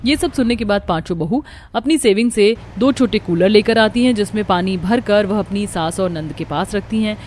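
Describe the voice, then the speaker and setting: A woman's voice: mid-range voice, moderate pace, a little mellow. Woman narrating cartoon story over music.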